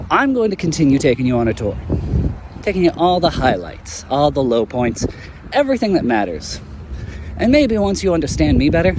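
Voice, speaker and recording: A man's voice: medium pitch, noisy background. Man playing a brash, defiant young hero. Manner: blunt, swearing, defiant. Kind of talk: amateur audio drama acting.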